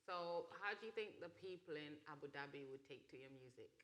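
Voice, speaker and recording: This is a man with a fairly high, very bright and forward voice. He works as a casual interviewer, putting questions in a chatty way. He keeps encouraging the other speaker with short affirmations. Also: a little fast.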